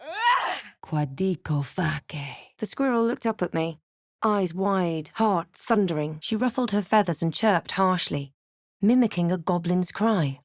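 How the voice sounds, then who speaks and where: A woman playing a wry, wary spellcasting adventurer in a video game, speaking in a measured, dramatic and dry manner. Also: on the low side, sing-song, no room sound. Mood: forceful incanting. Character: snarky adventurer heroine, wary, wry, spellcasting fantasy protagonist. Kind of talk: video game voice lines, remarks and first-person narration.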